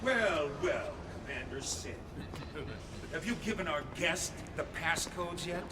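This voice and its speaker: An actor playing a hot-headed, scornful rebel soldier with a menacing, sneering manner in an audio drama. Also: male voice, a little high, sing-song, slow pace, heavy background noise. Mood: sneering menace. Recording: loud music under the voice. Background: dramatic score.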